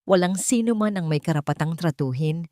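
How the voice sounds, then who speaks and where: A woman's voice: lowish voice, a little fast, dark tone, no room sound, studio quality, close to an everyday voice. A woman voicing a wary, wronged bakery owner in an audio story, guarded and controlled. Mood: firm defiance.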